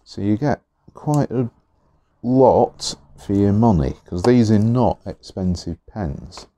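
A man reviewing fountain pens in an easygoing, chatty way, a little hoarse, with fillers and repeated words. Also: fairly low pitch, lively intonation, slow, mellow, dry recording. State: slightly hoarse. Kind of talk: relaxed product review. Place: indoors at a desk. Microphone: overhead camera on the hands, speaker not in frame.

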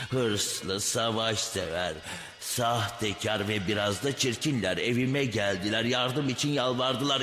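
A man's voice: mid-range voice, fairly bright tone, lightly acted. A man voicing a huge, ancient cartoon dragon, pompous, imperious and full of scorn. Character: ancient, haughty, contemptuous male dragon, huge and commanding. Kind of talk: dubbed cartoon voice acting. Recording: echoey cavernous reverb, music underneath.

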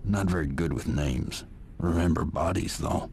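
An actor playing a merciless, terse, deadpan vigilante in a video game. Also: male voice, sits low, normal speed, gravelly. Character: grim, merciless male vigilante, hardened killer. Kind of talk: video game voice acting, brooding narration and dialogue. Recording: reverberant, with loud music behind.

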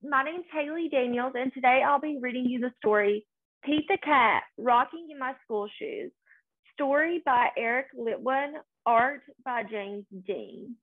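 A young adult woman reading a children's picture book aloud in a sing-song, deliberate way, recorded indoors. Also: sits high. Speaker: story reader. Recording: phone-like, narrow-band sound.

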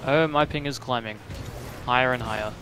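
A man's voice: medium pitch, a little fast, a little hiss or hum. A man chatting casually and rambling a bit while streaming a game with a friend. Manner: relaxed, rambling with fillers. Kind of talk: casual gaming chat.

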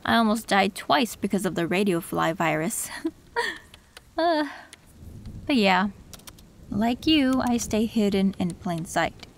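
A woman voicing a gentle young tech fixer in an audio roleplay, soft and reflective. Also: medium pitch, lively intonation, slow, pauses often, slight background noise. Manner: soft, soothing, reflective with small laughs. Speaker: voice actor.

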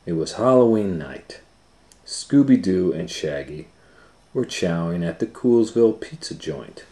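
A man in his forties reading a children's story aloud in a casual, informal, unhurried way. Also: low-pitched, animated, dark tone, roomy sound. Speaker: storytime host and reader. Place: small plain room indoors.

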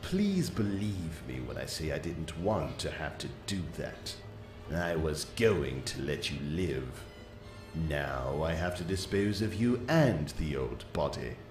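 A man voicing a sinister demonic old stallion in a dramatic animated comic, with slow, smug, theatrical menace. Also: extremely low pitch, full character voice. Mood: mock tender. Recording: echoey, music underneath.

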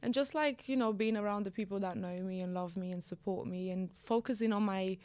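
A woman's voice: medium pitch, fairly quick, a little mellow, no echo. A young woman from Brazil with a Brazilian Portuguese accent, speaking candidly as a podcast guest and using many fillers. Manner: frequent "like" fillers, candid and reflective. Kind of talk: casual podcast interview. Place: small studio with sofa and purple lighting. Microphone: studio boom mic close up.

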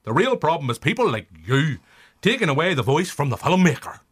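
An actor playing a feisty, world-weary old male film director in a radio drama, excitable and sweary. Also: on the high side, brisk, slightly rough. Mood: indignant.

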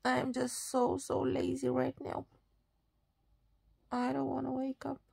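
Woman, 30s, Romanian accent, drowsy relaxed vlog, phone at arm's length, slow talker. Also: high voice, gravelly, breathy edge. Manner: slow, relaxed and sleepy, with frequent laughs and restarts. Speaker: vlogger. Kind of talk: casual selfie vlog. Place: bedroom and bathroom at home, indoors. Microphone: phone held at arm's length.

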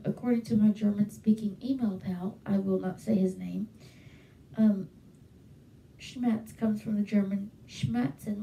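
A woman's voice: medium pitch, mellow, a little hiss or hum. A young woman vlogging casually to camera from her bedroom, chatty and enthusiastic, with frequent fillers. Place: small bedroom at home. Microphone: handheld mic held close, webcam. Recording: roomy echo, from a distance.

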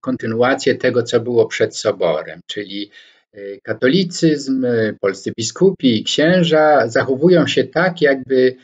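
Slow, hesitant older man lecturing from home. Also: medium pitch, animated, husky. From Poland. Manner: slow and deliberate, frequent hesitation fillers, gestures with both hands. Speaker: scholar commentator. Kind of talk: reflective spoken lecture to camera. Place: home study with bookshelves, indoors. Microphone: webcam or laptop microphone, head-and-shoulders framing. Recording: dull lo-fi webcam sound.

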